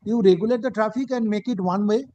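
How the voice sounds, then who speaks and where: High-voiced Bengali Indian judge, a man, halting. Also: warm tone, no echo, lo-fi sound. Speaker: judge presiding over a hearing. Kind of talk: courtroom questioning and directions.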